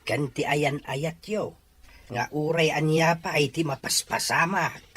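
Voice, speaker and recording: A man's voice: a little high, unhurried, fairly bright tone, husky. A man acting an earnest, consoling older father in a Filipino audio drama. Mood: consoling, pleading.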